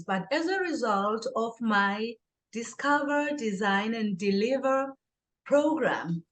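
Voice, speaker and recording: A woman answering as an interview guest in a conversational style. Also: on the high side. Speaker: interview guest, author, educator and singer.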